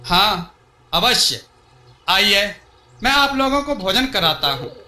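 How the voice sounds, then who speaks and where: A wise old villager from a storybook, played by a man, explains and cautions solemnly. The voice is high and very bright, with an echo around it. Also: big pitch swings, takes it slowly, full character voice. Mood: welcoming. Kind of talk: storybook dialogue.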